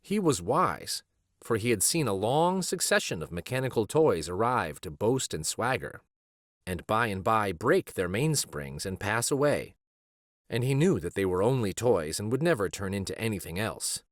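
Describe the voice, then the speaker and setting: A man narrating a children's storybook aloud in a deliberate, expressive way. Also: lowish voice, dry recording, studio quality.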